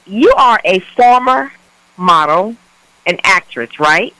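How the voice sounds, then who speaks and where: Radio host lady, super chatty and excited, bright voice, dry close sound. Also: medium pitch, takes it slowly, no echo, rough recording. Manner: warm, enthusiastic, chatty. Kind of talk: radio talk show interview.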